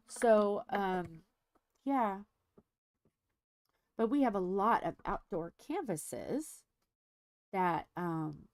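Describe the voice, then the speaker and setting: Woman, middle-aged, livestream host, casual rambling chat, slightly stuffy, unhurried, dry close sound. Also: fairly low pitch, a little mellow, no room sound. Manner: rambling, thinking aloud with many fillers. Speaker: diamond painting livestream host.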